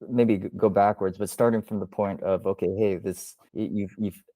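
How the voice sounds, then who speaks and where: Low, mellow-voiced podcast host. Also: male voice, fairly even intonation, no echo. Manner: hesitant, halting restarts. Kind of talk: interview question. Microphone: video call, webcam framing.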